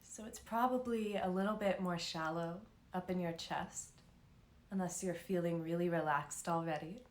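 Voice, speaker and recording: A woman leading a live guided meditation as an instructor, speaking in a calm, soothing, unhurried way with long pauses. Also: fairly low pitch, roomy sound.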